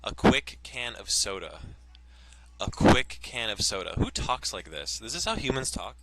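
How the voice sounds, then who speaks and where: A man's voice: on the low side, sing-song, measured pace, a bit bright, poor audio. A man reading a visual novel aloud and cracking sarcastic jokes about the writing, with game music behind. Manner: sarcastic, playful commentary. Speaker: let's play host.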